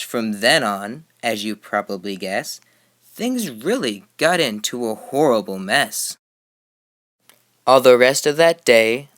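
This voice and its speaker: A man reads a children's storybook aloud in a mid-pitched voice. He takes the rhymes slowly, with rhythmic, emphatic expression. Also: a bit bright. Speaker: storybook reader.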